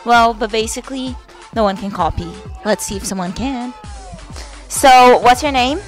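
A woman's voice: fairly high pitch, moderate pace, faint music behind. Teenage girl with Brazilian accent. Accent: Brazilian Portuguese. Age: teens. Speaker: student reporter. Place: school hallways and offices, indoors. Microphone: handheld microphone.

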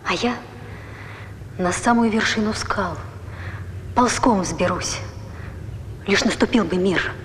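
An actress playing an eager Greek soldier's wife, fervent for peace, with theatrical film delivery. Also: female voice, mid-range voice, slow pace, pauses often, a bit bright. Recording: reverberant hall, background noise.

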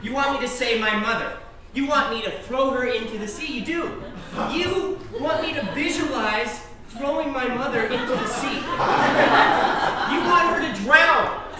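Harried, protesting husband, echoey. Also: male voice, extremely high pitch, a little slow, a loud crowd. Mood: anguished, heated. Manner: exasperated, protesting. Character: harried, reluctant husband cornered by a cruel game. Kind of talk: naturalistic dramatic acting. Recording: roomy, distant, faint noise.

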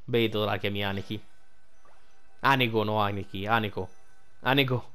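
A man's voice: lowish voice, steady tone, normal speed, sharp tone, clean tone, faint music behind. A man giving casual, blunt, slangy commentary as he plays a video game.

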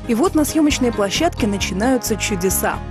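A woman's voice: on the high side, lively intonation, a little fast. A woman reporter reading scripted narration for a television feature. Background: music.

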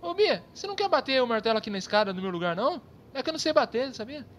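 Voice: mid-range voice, sing-song, very fast, close to an everyday voice. A performer voicing a silly, clueless young man in a cartoon comedy, clowning naively with a Brazilian accent. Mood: pleading. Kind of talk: cartoon sketch comedy dialogue. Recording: heavy background noise, clicks.

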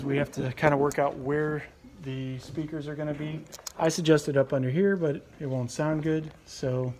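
A man in his thirties giving a relaxed, rambling walkaround of a boat project in a large workshop garage, filmed on a handheld phone, with many fillers and restarts. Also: on the high side, a little hiss or hum. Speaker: channel host. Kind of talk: casual walkaround vlog. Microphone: handheld phone camera close up.

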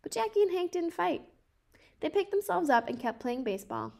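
A woman in her twenties reading a children's picture book aloud in a careful, measured way. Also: very high voice, big pitch swings, vocal fry, a little mellow. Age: 20s. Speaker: storybook reader.

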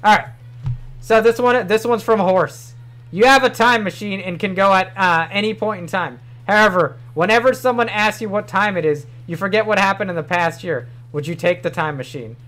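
High-voiced young man with a New York accent. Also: normal speed, pushed and bright, soft background music. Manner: rambling, swears casually, laughs often. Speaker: streamer. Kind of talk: casual livestream chat. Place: small home room.

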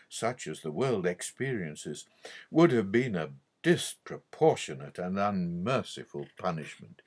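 A man reading a theological audiobook in a measured, formal and emphatic manner. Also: fairly low pitch. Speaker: audiobook reader. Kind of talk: scripted narration of a theological book.

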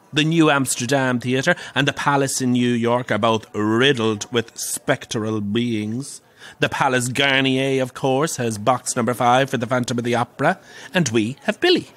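An actor playing a camp, wry, middle-aged Irish pantomime dame who relishes telling grisly ghost stories in an audio drama. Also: male voice, mid-range voice, a bit bright, lightly acted. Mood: teasing, theatrical. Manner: theatrical, wry, relishing the tale.

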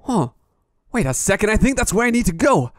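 Man playing a high-voiced excitable young adventurer. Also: brisk, dry recording, studio quality. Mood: curious, wary. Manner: talks to himself, stammering, breathlessly reactive. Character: excitable, naive young male adventurer on a quest. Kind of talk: amateur adventure story acting.

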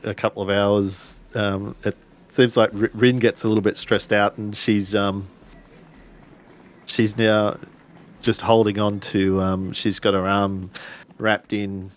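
A man playing a tabletop game, casually narrating what his characters do in a tentative, halting way. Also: fairly low pitch. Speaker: roleplaying game player.

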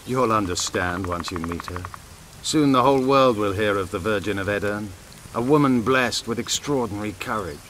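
An actor playing a proud, bitter elven rebel commander in a fantasy game, grave and declamatory. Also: male voice, lowish voice, normal speed, a bit bright, slight background noise, natural voice. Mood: stern. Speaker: video game character actor. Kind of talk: game dialogue acting.

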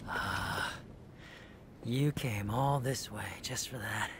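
Man acting a slow, haughty demon swordsman. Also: medium pitch, noisy background, natural voice. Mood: disdainful. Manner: measured, haughty, terse. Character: cold, proud, power-hungry male demon swordsman. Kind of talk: dramatic video game acting.

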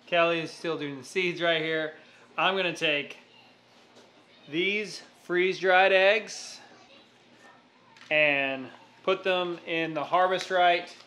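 A man in his thirties chats and jokes as he works through kitchen chores for his homestead vlog. His voice is bright and a little high for a man. Behind him is the quiet air of a home kitchen, caught by a camera on the counter. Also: slight background noise. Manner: joking, explains as he works. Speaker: homestead vlogger. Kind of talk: casual chat.

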